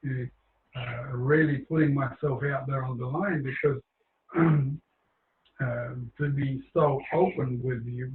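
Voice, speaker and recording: A man giving an informal talk about his book, hoarse and losing his voice, rambling with many fillers and restarts. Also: mid-range voice, echoey room, narrow, tinny audio, slight background noise.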